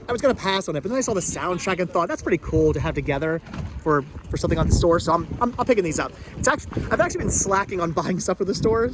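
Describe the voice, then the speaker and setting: A man chatting casually as a vlog host outdoors at a flea market, often repeating and doubling back on his phrases. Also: high-pitched. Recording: noisy outdoor flea market location sound.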